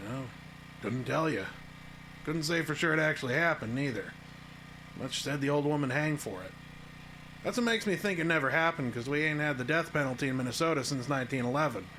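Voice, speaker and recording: A man's voice: a little high, fairly quick, bright, forceful tone, rough recording. Folksy small-town handyman, faint noise. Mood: folksy storytelling. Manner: folksy, colloquial, matter-of-fact. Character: plain-spoken, folksy small-town handyman, skeptical and reluctant. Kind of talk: audio drama acting.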